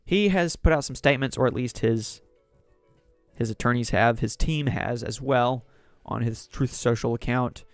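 Man, hoarse voice, rambling news commentary, dry close mic, faint background music. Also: mid-range voice, normal speed, no room sound. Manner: rambling, conversational, reads articles aloud. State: sore throat, losing voice. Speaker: podcast host. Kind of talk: solo political commentary.

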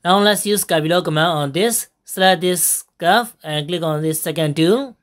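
Woman narrating a software tutorial. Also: fairly low pitch. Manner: step-by-step instructions with frequent fillers and pauses. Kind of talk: screen-recorded software tutorial voice-over.

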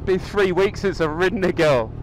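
A man's voice: sits high, moderate pace, poor audio. A young man chatting casually as he rides his motorcycle, with a microphone inside his helmet and a rambling, upbeat manner full of ums. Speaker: motovlogger. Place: outdoors on a moving motorcycle. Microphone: helmet mic. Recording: faint road and engine noise.

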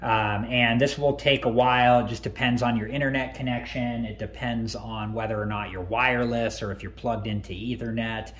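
A man hosting a tech tutorial, calmly talking through each step on screen with frequent ums and uhs. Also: mid-range voice, normal speed, hardly any pauses. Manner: relaxed, many ums and uhs.

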